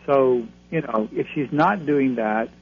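Male astrologer guest, reassuring, gravelly, phone-line sound. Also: mid-range voice, measured pace. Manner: reassuring, advisory. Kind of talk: call-in radio readings. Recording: phone line, faint hum.

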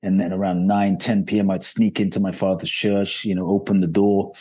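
A man telling his life story as a podcast guest, earnest and reflective, often pausing on "and so" and "uh". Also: low-pitched, little pitch movement, warm tone, husky. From Ghana.

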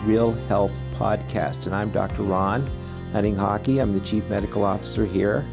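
A man's voice: on the low side, steady tone, moderate pace, creaky, over music. A man hosting a health interview as a physician, talking conversationally and often repeating words as he rephrases. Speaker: host, physician.

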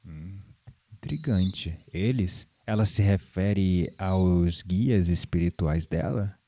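An actor with a Brazilian accent playing a calm, playful, spiritually minded man in an audio drama. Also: low voice, slow pace, dry recording, exaggerated voice. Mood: intrigued. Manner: gently playful, reflective, philosophical.

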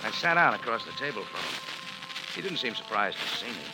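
Sardonic american noir hero, lively delivery, music behind, old radio. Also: male voice, lowish voice, fairly quick, poor audio. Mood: wry narrating. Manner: sardonic, slangy, unflustered. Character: wry, tough American cafe owner narrating his adventure. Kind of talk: 1940s radio noir narration and dialogue.

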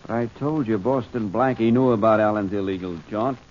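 A demanding male politician in an old radio play, played by a man, curt and sceptical. His voice is on the low side, over dull audio with faint noise. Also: normal speed, a little mellow, natural voice. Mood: impatient, sceptical. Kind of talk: 1940s radio drama acting.

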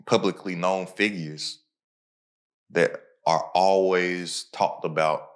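A man's voice: low-pitched, fairly even intonation, slow pace. A man hosting a podcast, talking casually and motivationally in repeated punchy phrases. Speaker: podcast host. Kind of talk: casual conversational podcast talk.

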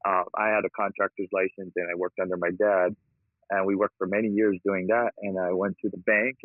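An upbeat man from Hawaii talks casually about his work, laughing easily. His lowish voice comes through a thin, phone-like line. The sound is dry, with no room around it. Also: brisk, no room sound. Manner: upbeat, laughs often, energetic. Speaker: guest, real estate investor. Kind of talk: casual interview talk.

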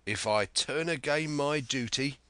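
A man voicing an honest young sailor with a hoarse, shaking voice who speaks earnestly, for a storybook reading. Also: medium pitch, unhurried, pushed and bright, no echo, voice pushed up. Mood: pleading. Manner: earnest, pleading then defiant.

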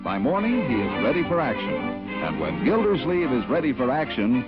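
A man's voice: mid-range voice, very animated, brisk, over loud music. An American man working as a 1940s radio announcer, reading scripted copy in an emphatic, polished sales style. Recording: muffled 1940s radio recording.

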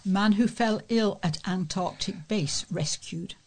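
A woman's voice: medium pitch, takes it slowly. A woman reading news reports aloud as a volunteer newsreader.

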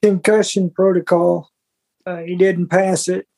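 A man's voice: high-pitched, little pitch movement, measured pace, gravelly, mellow. A man co-hosting a sports podcast, giving casual commentary in a folksy, colloquial way. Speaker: podcast co-host.

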